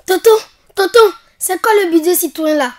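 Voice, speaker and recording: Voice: very high-pitched, moderate pace. A performer playing a curious young child asking simple questions in an educational cartoon. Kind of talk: animated educational film dialogue.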